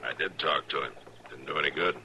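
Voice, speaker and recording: An actor playing a steady, weary frontier marshal, American, terse and firm, in an old radio western. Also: male voice, rumbling low, quick pace, full character voice. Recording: faintly noisy old radio recording.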